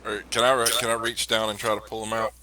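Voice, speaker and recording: A man playing in a tabletop game session, calling out quick urgent orders. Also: fairly low pitch, little pitch movement, bright, forceful tone, slight background noise. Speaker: player. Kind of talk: casual tabletop game chat.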